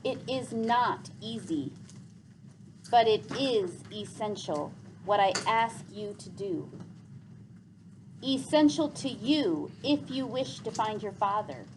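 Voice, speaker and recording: A woman voicing a wise, gentle old man in a storybook, speaking in a grave, formal and measured way. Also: mid-range voice, expressive, noisy background. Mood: solemn, earnest. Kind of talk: storybook read aloud.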